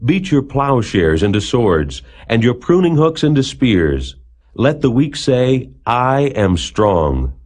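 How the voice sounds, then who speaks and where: A man narrating scripture as a Bible reader, in a solemn, declamatory manner with measured phrasing. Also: mid-range voice, sing-song, a little mellow.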